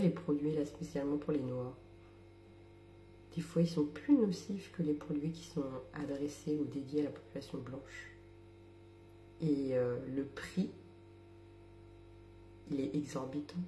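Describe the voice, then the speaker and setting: Low-voiced, dark-toned woman joking slowly. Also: echoey room, slight background noise. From metropolitan France. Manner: ironic, laughs often, drops into whispered asides. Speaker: social media creator. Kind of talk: casual monologue to camera.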